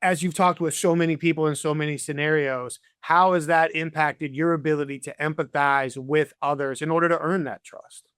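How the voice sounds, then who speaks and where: Man, 30s, higher voice, affirming interview host with a dry close mic. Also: fairly even intonation, fairly quick, fairly bright tone, smooth, dry recording. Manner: enthusiastic, affirming, stammers at starts. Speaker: podcast host. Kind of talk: conversational podcast interview.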